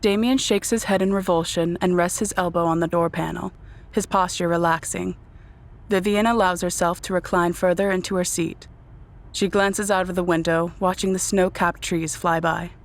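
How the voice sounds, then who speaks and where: A woman narrating a dark vampire audio drama in a composed, scripted storytelling style. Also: fairly low pitch, steady tone, normal speed, close to an everyday voice.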